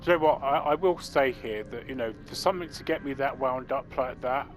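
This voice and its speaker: Man, let's play commentator, halting, rambling, game music underneath. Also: medium pitch. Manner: rambling, halting, with restarts and fillers. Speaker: gameplay commentator.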